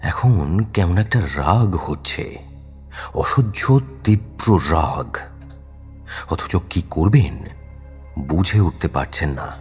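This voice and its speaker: A man's voice: sits low, lively intonation, takes it slowly, long pauses, a little mellow, soft background music. A man narrating an audio story in a dramatic, scripted storytelling style.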